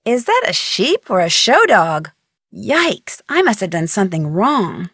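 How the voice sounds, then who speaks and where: A woman voicing an inquisitive, polite young female detective in a video game, with expressive delivery. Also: mid-range voice, measured pace, husky, no echo, clean studio sound, exaggerated voice. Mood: puzzled.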